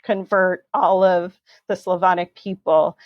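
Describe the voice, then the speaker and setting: Measured low-voiced woman author. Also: steady tone, no echo, muffled recording. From USA. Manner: measured, thoughtful, with frequent ums and you-knows. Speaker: author guest. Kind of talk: interview, explaining history.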